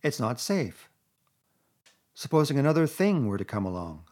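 A man's voice: medium pitch, very animated, normal speed, pitched above the performer's own voice, close to an everyday voice. A man voicing a timid, worried mole. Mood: anxious. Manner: worried, gentle. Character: timid anxious male mole.

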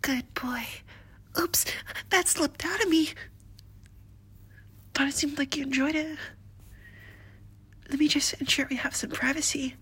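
A woman voicing a doting, flirtatious nurse in a whispered roleplay, with a soft, breathy, slow and coaxing delivery. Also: sits high. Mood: whispery, doting. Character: doting, flirtatious female nurse, motherly and teasing.

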